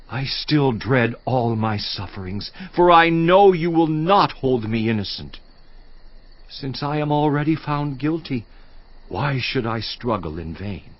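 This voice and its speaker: A suffering man pouring out his bitterness to God, his voice high and slow. It sways from pleading cries to hushed whispers, recorded dry and a little dull. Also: no echo, heavily acted. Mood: anguished. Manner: anguished, swings from loud to whispered. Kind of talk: dramatized scripture reading.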